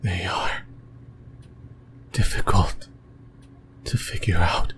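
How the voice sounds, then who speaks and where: Slow whispering male demon, acted by a man, low and creepy. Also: level tone, a bit bright. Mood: possessive, intimate. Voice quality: breathy whisper. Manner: slow, halting, rambling, intimate musing. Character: possessive, creepy male sleep paralysis demon, yearning and lonely. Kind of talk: whispered ASMR roleplay. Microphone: close microphone.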